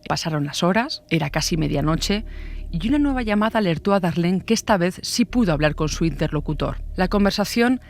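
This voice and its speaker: A woman's voice: on the low side, lively intonation, a little fast, darkish tone. Woman, true-crime podcast host, journalist, storytelling narration, personal asides.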